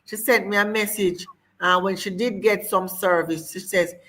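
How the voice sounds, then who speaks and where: Bright-voiced woman host. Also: mid-range voice, fairly even intonation, normal speed. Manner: hesitant, circling phrasing with many fillers. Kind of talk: interview.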